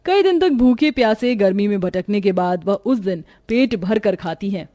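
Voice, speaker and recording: A woman's voice: medium pitch, expressive, moderate pace, mellow, dry recording, clean studio sound. A woman giving scripted narration for a cartoon story.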